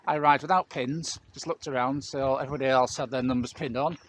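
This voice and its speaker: A middle-aged man co-hosting a running channel, chatting to camera in a relaxed, reflective way with dry humour. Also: medium pitch, quick pace, a little hiss or hum. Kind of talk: casual chat to camera.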